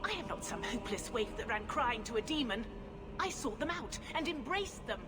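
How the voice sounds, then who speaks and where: A woman's voice: on the high side, very animated, moderate pace, music in the background. An actress playing a haughty, scheming female blood mage villain in a video game, speaking in a grandiose, menacing, theatrical way. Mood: smug menace. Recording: long hall reverb, clear background noise.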